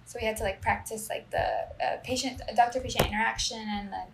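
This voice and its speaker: A woman's voice: a little high, fairly even intonation, fast talker, gravelly, noisy background. Hesitant Puerto Rican young woman, echoey. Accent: Puerto Rican Spanish. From Puerto Rico. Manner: hesitant, many fillers and restarts. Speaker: medical student. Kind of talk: casual conversation.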